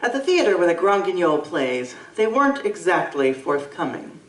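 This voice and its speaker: A woman narrating a live audio drama in a measured, storytelling manner. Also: on the low side, very animated, over loud music. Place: live convention stage. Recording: echoey stage from far off.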